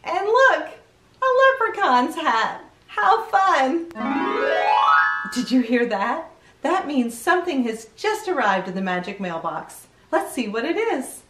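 A woman's voice: sits high, very animated, slow, fairly bright tone, echoey room, recorded from a distance, faint music behind. A middle-aged woman hosting a children's read-aloud show, playful and exclamatory, often putting questions to the young viewers.

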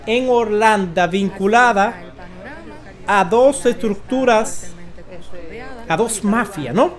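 A man in his forties with a Dominican accent gives informal news commentary as a host, halting and emphatic, with dramatic pauses. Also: sits high. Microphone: close desk microphone.

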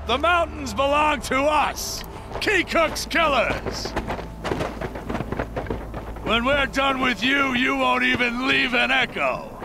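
An actor playing a vengeful mountain bandit in a video game, shouting taunts. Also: male voice, very high-pitched, animated, takes it slowly, pauses often, noisy background, full character voice. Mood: furious taunting.

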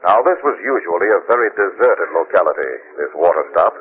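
An actor playing a measured storyteller, narrating suspenseful radio drama in scripted 1940s style. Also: male voice, deep voice, lively intonation, slightly rough. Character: measured male storyteller setting a suspenseful scene. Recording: noisy, dull old radio recording.

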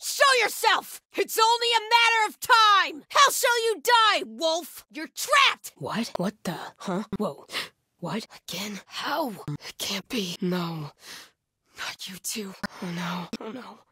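An actress playing a zealous, hostile cult warrior in a video game, delivering terse, intense lines in short phrases. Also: female voice, sits high, sing-song, slow, pushed and bright, no room sound, clean studio sound, heavily acted. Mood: dismayed. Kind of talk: combat barks and effort lines for an action game.

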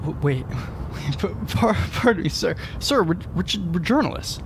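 A man's voice: fairly high pitch, big pitch swings, moderate pace, vocal fry, background noise. A man playing an earnest, easily flustered field journalist in a comedic audio drama. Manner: stammering when addressing people. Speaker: reporter. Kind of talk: comedic audio drama, mock news report.

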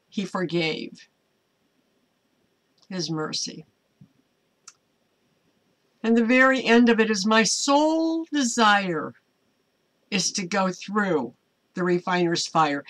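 An older American woman speaks slowly and earnestly, as an online ministry host would. Her delivery is halting and informal, part chat and part preaching, with a mid-pitched voice. She is recorded indoors in a small home office. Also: a bit bright. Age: older, 60s or 70s. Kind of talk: informal devotional talk to camera, part preaching.

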